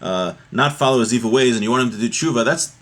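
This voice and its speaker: A man's voice: medium pitch, fast talker, fairly bright tone. A man giving an informal class as a religious teacher, reading from a text and explaining it conversationally, restating points in plain everyday terms. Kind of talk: informal text study, reading aloud and explaining to a livestream audience.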